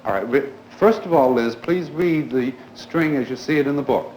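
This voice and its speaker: A man teaching a class in a formal, polite, deliberate way, putting questions to his pupils. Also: medium pitch, a little mellow. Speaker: schoolteacher leading a grammar class. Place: indoors in a school classroom. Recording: echoey classroom recording with clear background noise.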